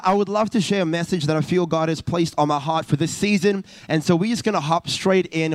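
A man's voice: a little high, smooth, no room sound. A man in his thirties, a youth pastor preaching a sermon from a church stage, earnest, with frequent uh's and restarts. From South Africa. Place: church stage, live service.

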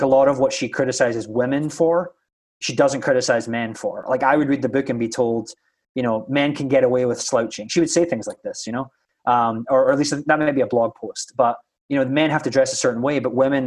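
A man's voice: mid-range voice, steady tone, fairly quick, darkish tone. Scottish man arguing a point earnestly. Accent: Scottish, somewhat flattened. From Scotland. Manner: earnest, argumentative, frequent tag questions. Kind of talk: conversational opinion in a discussion.

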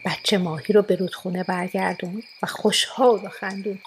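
A woman's voice: mid-range voice, steady tone, creaky, slight background noise, close to an everyday voice. A woman narrating a children's radio play as a scripted storyteller.

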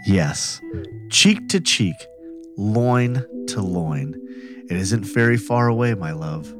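A man's voice: lowish voice, very animated, slow. Guy doing a grave mystic boyfriend, devout n adoring, melodramatic reading, music playing behind. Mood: adoring, fervent. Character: solemn mystical male lover, devout and adoring. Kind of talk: theatrical dramatic reading of text messages.

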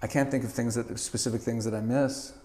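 A middle-aged man from Canada, a guest in a casual interview, talking in an animated way with lots of stammered restarts and easy laughter. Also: mid-range voice, a little fast.

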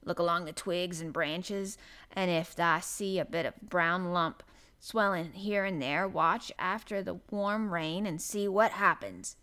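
A woman's voice: lowish voice, a little slow, bright, forceful tone, smooth. A woman voicing a grumpy old male gardener with a Yorkshire dialect and a grumbling manner, in an audiobook. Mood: slow, gruff. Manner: grumbling, grunting, dialect speech. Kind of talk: audiobook character voice.

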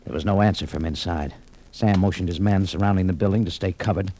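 An actor playing a tough, wry adventurer in an old radio detective drama, with punchy hardboiled delivery. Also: male voice, deep voice, steady tone, fast, mellow. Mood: grim narration. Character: tough, wry male adventurer narrating his exploits. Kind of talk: 1940s-50s radio detective drama acting. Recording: slightly noisy old radio recording.

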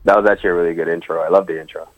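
A man's voice: low voice, fairly even intonation, very fast, dry recording, muffled recording. A man co-hosting a casual movie review chat, enthusiastic and earnest. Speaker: podcast co-host.

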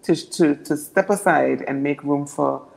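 A young woman from Anguilla with a naturally deep voice, answering interview questions earnestly and often repeating words as she builds her points. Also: a little slow, vocal fry, a little mellow. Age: young adult. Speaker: political candidate.

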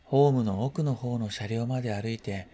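A man reading a first-person literary story as scripted narration, in a quiet, reflective, measured manner. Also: medium pitch, slight background noise. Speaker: story reader.

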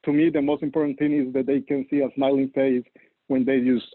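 A man with a Colombian Spanish accent speaks unhurriedly, pausing to find his words in English. His voice comes through a thin, phone-like line with a dry, close sound. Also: mid-range voice, flat delivery, no echo. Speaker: church campus leader. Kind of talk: podcast guest interview.

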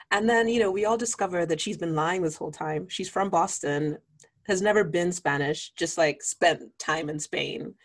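Podcast co-host, woman, very low pitch, relaxed and musing. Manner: relaxed, musing, easy laughter. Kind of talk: casual chat.

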